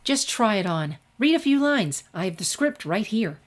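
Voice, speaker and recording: A woman's voice: medium pitch, big pitch swings, moderate pace, voice pushed up, close to an everyday voice. A woman voicing an eager, pushy female unicorn drama professor in a story reading, coaxing and insistent.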